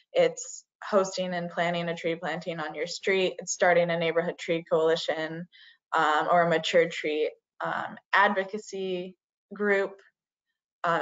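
A woman giving an online presentation, starting many phrases with "uh" and "um". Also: lowish voice. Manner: frequent "uh" and "um" fillers. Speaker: community forestry presenter. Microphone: video call. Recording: dull lo-fi video call audio.

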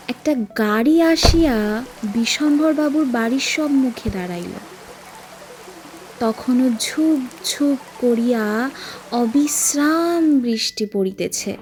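A woman's voice: high voice, slight background noise. A woman narrating a literary story in a solemn, emotive, dramatic manner.

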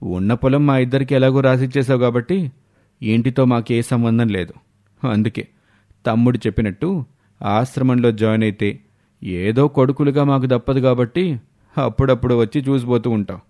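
A man's voice: medium pitch, fairly even intonation, moderate pace, long pauses, mellow, lightly acted. Guy acting as a callous cartoon elder brother, mid voice, talks down to everyone. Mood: coldly dismissive. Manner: condescending, dismissive. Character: callous, mocking grown elder son.

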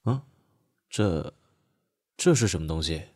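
Excitable, gushing man with swooping pitch acted out. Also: slow, slight background noise. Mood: startled. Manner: stammering, gushing. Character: excitable, gushing man besotted with cute animals. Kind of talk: audio drama acting.

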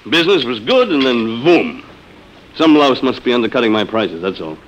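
A man's voice: medium pitch, big pitch swings, normal speed, dull audio. An actor playing a harried, exasperated salesman husband who frets and blusters, in 1950s radio drama style. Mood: fretful. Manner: fretful, blustering. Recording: noisy, crackly old radio recording.

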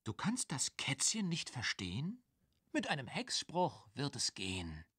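An actor voicing a magical hint-giver in a children's witch game, reciting rhymes theatrically in a husky, breathy voice. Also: medium pitch, wildly swooping pitch, a little slow, a bit bright, no echo, studio quality, exaggerated voice. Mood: mysterious, instructive.

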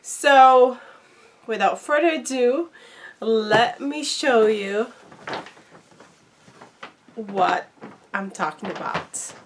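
A woman's voice: fairly high pitch, very slow, long pauses. A middle-aged woman, a little congested from flu, talking casually as a vlogger and showing things to viewers in an unboxing video. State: slightly congested, recovering from flu. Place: small indoor room at home.

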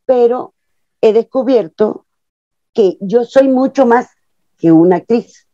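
Lively woman in her sixties on a call. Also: on the high side, slow pace, no room sound. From Venezuela. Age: 60s. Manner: expressive, animated storyteller with lots of hand gestures. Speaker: actress and guest. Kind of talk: casual interview chat. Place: small room at home, plain wall behind. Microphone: earphone mic on a video call. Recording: dull, lo-fi video call audio.

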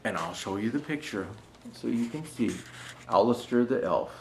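A man's voice: medium pitch, expressive, normal speed, noisy background. An older man reading a children's storybook aloud in a folksy way, speaking directly to young listeners as a Santa storyteller. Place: small indoor decorated studio set.

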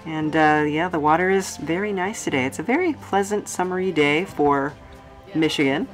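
Female vlog host, low register, playful rambling voice-over, light music bed. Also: moderate pace. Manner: playful, wry, rambling asides. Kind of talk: casual, chatty travelogue voice-over. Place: studio voice-over.

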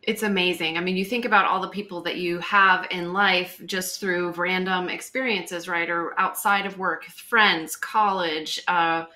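Upbeat, bright podcast host woman. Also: fairly low pitch, fairly even intonation, measured pace, few pauses. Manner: upbeat, affirming. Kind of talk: casual interview talk. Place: home room, indoors. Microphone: wireless earbuds on video call.

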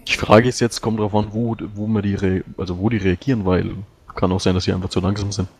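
A man's voice: on the low side, steady tone, fast talker, a little mellow. A man talking casually as a player in a tactical game discussion.

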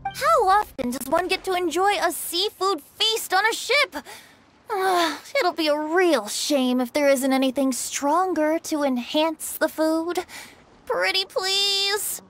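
A woman's voice: very high voice, takes it slowly, a bit bright, faint music behind, exaggerated voice. A woman voicing a carefree, playful young male bard in a game, with a breezy, wheedling way of talking.

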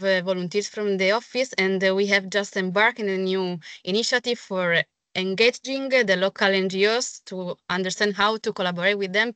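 A woman's voice: medium pitch, a bit bright, clear, resonant tone, no room sound. A woman from Italy introducing herself as an agency activity manager on a video call, enthusiastic and rambling with many fillers.

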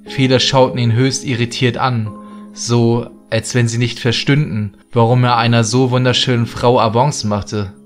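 Male narrator, medium pitch, scripted creepy storytelling, light music bed. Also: flat delivery, moderate pace. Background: background music.

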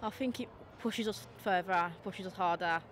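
A woman's voice: fairly low pitch, normal speed, a bit bright. A young woman, a football player, giving post-match interview answers outdoors. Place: open football stadium pitchside, outdoors. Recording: clear outdoor background noise.

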